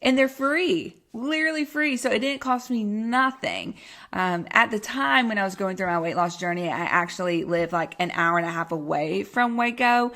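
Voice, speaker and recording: A woman's voice: medium pitch, expressive, moderate pace, a bit bright. Bubbly Texan woman chatting casually. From Texas, United States. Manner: bubbly, rambling, informal, self-deprecating. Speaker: podcast host and health coach. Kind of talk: casual solo podcast chat.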